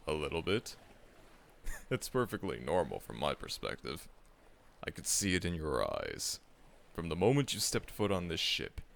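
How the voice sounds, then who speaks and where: A man's voice: rumbling low, gravelly, a bit bright, full character voice. A man voicing a seasoned, friendly veteran monster hunter in an audio roleplay, chuckling often and teasing the listener.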